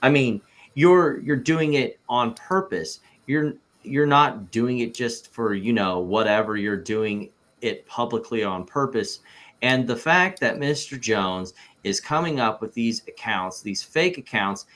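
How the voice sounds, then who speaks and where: A man reading the part of an evasive businessman witness in an amateur deposition read-through, halting and repeating himself. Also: medium pitch, a bit bright, natural voice. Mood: defensive, evasive.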